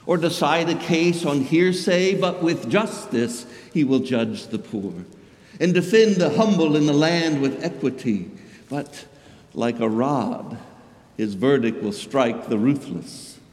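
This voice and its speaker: A man giving a solemn, theatrical scripture reading in church, measured and declamatory, with swells of emphasis. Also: mid-range voice, darkish tone. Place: large indoor church. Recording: echoey, long reverb of a church.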